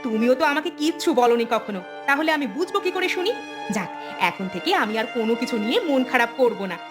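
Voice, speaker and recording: A woman plays a sharp-tongued village wife in a cartoon, shrewd and easily put out. Her high voice moves quickly in scolding questions over a clear music bed. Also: very animated. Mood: sulky. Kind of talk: cartoon dialogue.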